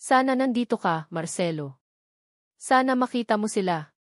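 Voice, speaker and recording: Woman voicing a gentle, modest young mother. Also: fairly high pitch, animated, normal speed, dry recording, clean studio sound, natural voice. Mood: wistful longing. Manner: soft-spoken, polite.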